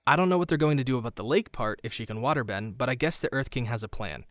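A man playing a confident, wry teenage boy hero in an animated drama, speaking with an assured manner. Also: medium pitch, fast, no room sound, studio quality, close to an everyday voice.

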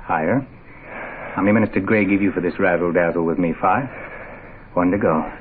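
A man's voice: deep voice, a little fast, slight background noise, close to an everyday voice. An actor playing a smug defector spy turned gunman, taunting in drawling hipster slang in a 1960s radio mystery. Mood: smug, menacing. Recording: muffled, phone-like vintage radio recording.

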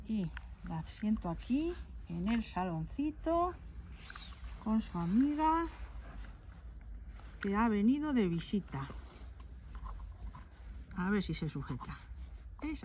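A woman's voice: medium pitch, darkish tone. A woman chats her way through a doll collection, stopping now and then to hunt for the right word. She is recorded outdoors in a garden. A faint hum sits behind her voice. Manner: chatty, affectionate, pauses to search for words. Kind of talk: casual show-and-tell. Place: outdoors on a stone table in a garden.

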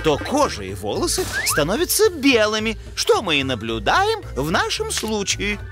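Nerdy cartoon moose professor, super animated, pedantic n polite, music behind. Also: male voice, sits high, moderate pace. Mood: earnest lecturing. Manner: lecturing with hesitant "er" openings. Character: scholarly male moose scientist, pedantic and excitable, courteous. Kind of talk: animated educational cartoon dialogue.

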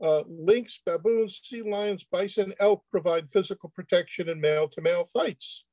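A man speaking off the cuff in a comic, excitable way, often repeating words as he goes on a video call. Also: sits high, normal speed, no echo, low-quality recording. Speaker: panel reader answering questions. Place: indoor room on a video call.

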